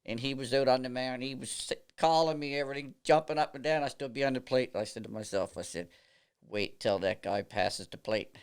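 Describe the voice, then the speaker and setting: A man's voice: medium pitch, fairly quick, clean tone. A man, an umpire telling stories in a casual podcast chat. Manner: animated storyteller, laughs through anecdotes, many fillers. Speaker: baseball umpire, podcast guest.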